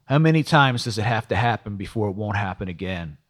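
A man's voice: on the low side, moderate pace, lightly acted. A man voicing an angry, exasperated male police detective in an audiobook, scolding with profane rhetorical questions.

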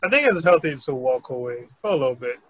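A man in a casual group chat who speaks calmly and in a measured way, trailing off softly. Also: mid-range voice, no room sound.

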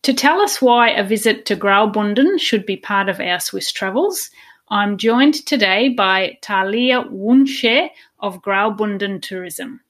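A woman's voice: medium pitch, animated, unhurried, fairly bright tone. A woman hosting a podcast, interviewing in a conversational, polite and encouraging way. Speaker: podcast host.

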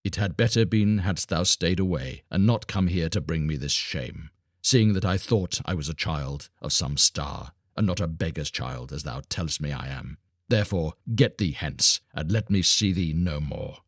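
Male reader as a cruel proud boy, scornful, emphatic, swooping pitch, archaic storybook lines. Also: huge pitch swings, normal speed, breathy edge, dry recording, clean studio sound, full character voice. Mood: hard, bitter. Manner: haughty, archaic, emphatic speech. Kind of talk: dramatised storybook reading.

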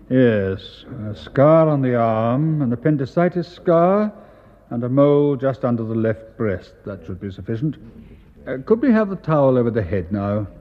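A grave English medical official in a radio drama. He is formal, careful and solicitous, with an educated accent and a middle-pitched voice. The recording is a touch dull. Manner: measured, polite, officious.

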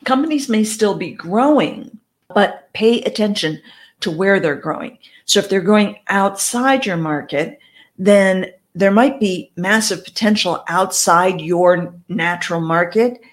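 A woman's voice: medium pitch, expressive, measured pace. Woman, business coach, direct, emphatic, conversational advice. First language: English. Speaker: network marketing coach. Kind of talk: advice talk to camera.

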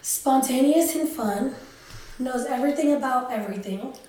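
A woman's voice: sits high, normal speed, dark tone. A young woman co-hosting a casual chat podcast, joking bluntly and laughing often. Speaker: podcast co-host. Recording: very echoey room, distant mic.

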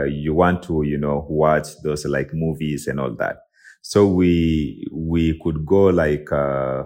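A young man from South Sudan telling his life story as a podcast guest, halting, with many restarts and fillers. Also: very deep voice, darkish tone. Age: young adult. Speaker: guest, tech and IP lawyer. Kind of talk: personal storytelling in an interview.

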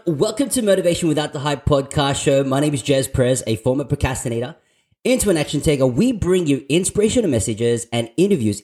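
Upbeat man hosting a podcast, mellow tone. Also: mid-range voice. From Australia. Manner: enthusiastic, with restarts. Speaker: podcast host. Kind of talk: podcast interview.

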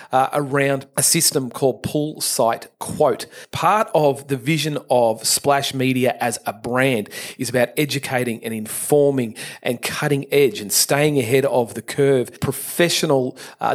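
A man hosting an industry podcast, speaking in a measured, deliberate way and stringing his points together in long lists. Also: medium pitch. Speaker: podcast host.

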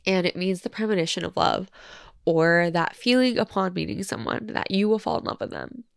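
A young woman hosts a podcast and reads a personal letter aloud, her voice congested from crying. She talks low and halting, with plenty of gravelly fry. The sound is close and dry. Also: expressive, no room sound. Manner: tearful, halting, many fillers. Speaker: podcast host.

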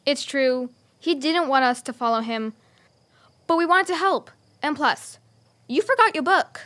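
Young girl adventurer, audio drama: high-pitched, earnest, eager to explain. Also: animated, a little slow, fairly bright tone. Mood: earnest, kind. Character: bright, helpful, bookish young girl adventurer.

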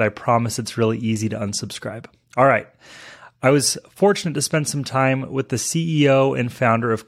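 A man hosting an interview podcast, talking to listeners and putting questions to a guest. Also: mid-range voice. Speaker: podcast host.

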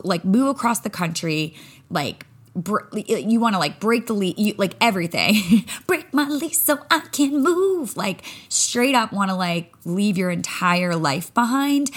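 A woman's voice: lowish voice, fairly bright tone. A young adult woman, a spin instructor, talking candidly and casually on her solo podcast, swearing freely and acting out her own thoughts.

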